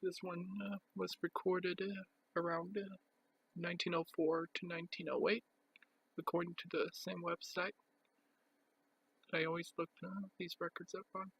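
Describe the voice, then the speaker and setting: A man giving a casual show-and-tell as a collector, speaking in a halting, piecemeal way with pauses. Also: sits high, little pitch movement, vocal fry, fairly bright tone, a little hiss or hum, low-quality recording. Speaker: record collector presenting his collection.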